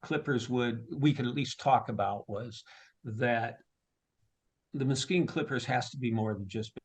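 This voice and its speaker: Male, mid-pitched, ballpark announcer type in an informal interview, conversational and unscripted. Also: a little slow, slightly rough. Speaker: stadium public address announcer and marketer. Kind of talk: casual interview answers.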